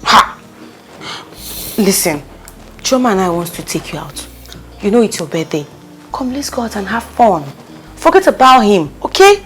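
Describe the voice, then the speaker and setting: Nigerian accent, woman acting pushy caring friend, emphatic and pleading, lively up-and-down pitch. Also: moderate pace, pauses often, faint music behind, lightly acted. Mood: coaxing. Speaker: consoling friend.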